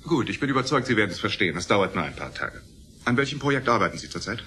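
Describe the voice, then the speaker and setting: An actor playing a hard, brusque corporate executive in a radio play, curt and commanding. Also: male voice, on the low side, very animated, fast talker, bright, forceful tone, a little hiss or hum. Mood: controlled, businesslike. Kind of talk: German radio play acting.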